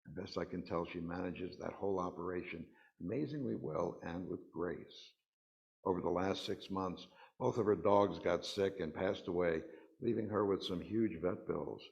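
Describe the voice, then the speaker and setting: A man's voice: sits low, gravelly. A man reading personal stories aloud at a worship service, in a dull, slightly muffled recording.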